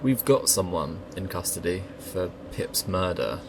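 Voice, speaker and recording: A grave, sympathetic policeman played by a man in an audio drama. His voice is deep and he talks slowly. Noticeable noise sits behind him. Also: lively intonation. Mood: grave, regretful. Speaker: actor in an audio play. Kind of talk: naturalistic audio drama acting.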